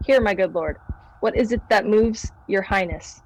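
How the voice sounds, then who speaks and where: A student actress as medium-pitched deferential noble. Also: female voice, normal speed, a little hiss or hum, close to an everyday voice, low-quality recording. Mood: deferential, puzzled. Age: young adult. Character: concerned, deferential noble at banquet. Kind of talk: Shakespearean radio play acting.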